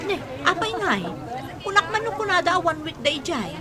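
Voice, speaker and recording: A woman's voice: very high voice, big pitch swings, moderate pace, a loud crowd. A woman playing an eager, nosy young single friend in an Ilocano-accented radio comedy-drama, exclamatory and teasing. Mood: curious.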